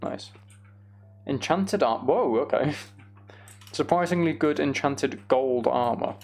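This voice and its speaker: A man's voice: mid-range voice, slow, darkish tone, slight background noise. A man giving casual, self-deprecating gameplay commentary, musing aloud as he goes. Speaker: gaming video host.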